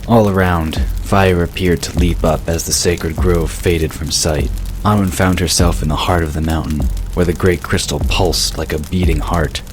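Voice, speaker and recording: A man's voice: sits low, fairly even intonation, normal speed, music in the background, low-quality recording. A man reading scripted fantasy story narration as the narrator of an audio drama.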